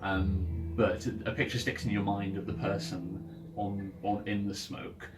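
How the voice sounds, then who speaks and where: A man running a tabletop game, narrating off the cuff in a halting, thinking-aloud way. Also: lowish voice, flat delivery, low-quality recording. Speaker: game master. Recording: music playing behind. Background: ambient music.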